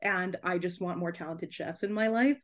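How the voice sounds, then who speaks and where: A woman's voice: lowish voice, moderate pace. A young woman chatting casually and effusively as a podcast guest, giggly and sprinkling her talk with "like". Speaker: podcast guest, debut author.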